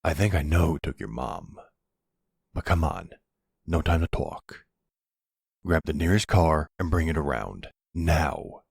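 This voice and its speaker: A man playing an older, calm and commanding mafia boss with a low husky voice, speaking deliberately in an intimate roleplay audio drama. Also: dry recording, clean studio sound, heavily acted. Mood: urgent, commanding. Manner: measured, deliberate, authoritative. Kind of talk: ASMR boyfriend roleplay acting.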